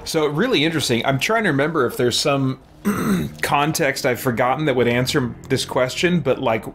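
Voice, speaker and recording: A man's voice: mid-range voice, normal speed, fairly bright tone. Musing male game streamer, faint noise behind. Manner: musing, thinking aloud. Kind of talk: casual let's play commentary. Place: room with shelves at home.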